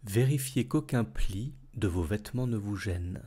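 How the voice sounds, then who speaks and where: A man leading a guided yoga nidra relaxation as instructor, speaking calmly and soothingly in measured phrases with long pauses. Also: fairly low pitch, breathy edge.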